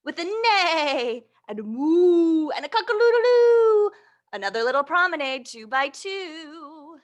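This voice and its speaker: A woman hosting a storytime for young children, reading and leading action rhymes in a playful, encouraging, animated way. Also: extremely high pitch, a little slow, lo-fi sound. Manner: playful, encouraging, checks in with listeners.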